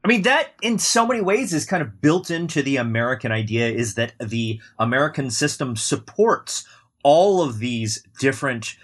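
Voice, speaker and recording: A man's voice: mid-range voice, big pitch swings, moderate pace, fairly bright tone, slightly rough. A man on a discussion panel, talking casually with many hedges and restarts.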